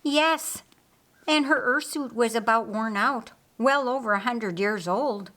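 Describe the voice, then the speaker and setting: Woman reading as a calm, assured man. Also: a little high, unhurried, pitched above the performer's own voice. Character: calm, confident, reflective man observing from above.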